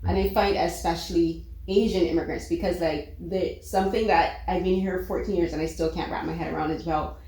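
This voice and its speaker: A woman from Barbados interviewing filmmakers, chatty and animated, with frequent fillers. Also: on the low side, fairly quick, hardly any pauses. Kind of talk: casual interview. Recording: echoey room.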